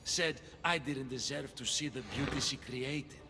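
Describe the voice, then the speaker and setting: An actor playing a gentle, bitter blinded man in a video game, plaintive and emotional. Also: medium pitch, measured pace, natural voice. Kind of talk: video game cutscene acting. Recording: long reverberant echo.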